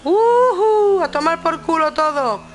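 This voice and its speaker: A woman's voice: very high-pitched, animated, slow, slight background noise. A young woman with a Spain Spanish accent chatting casually and informally, with swearing, as she streams a video game. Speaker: gaming streamer. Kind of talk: casual chat while gaming. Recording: game music behind. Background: video game music.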